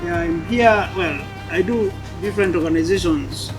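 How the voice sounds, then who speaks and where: A high-voiced man introduces himself at a community gathering. He speaks in a chatty, explanatory way. Loud music plays in the background. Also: moderate pace. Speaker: community advocate. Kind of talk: self-introduction at an event.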